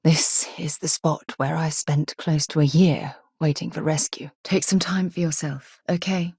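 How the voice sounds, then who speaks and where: An actress playing a principled, earnest ex-military space explorer in a video game, articulate and expressive. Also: female voice, sits low, measured pace, breathy edge, no room sound, clean studio sound, full character voice. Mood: reflective, caring. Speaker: companion character in a space game.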